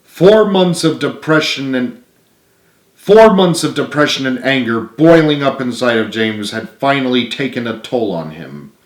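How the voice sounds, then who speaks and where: A man reading his own book aloud as dramatic storybook narration, earnest and emphatic. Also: mid-range voice. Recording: roomy, echoing room sound.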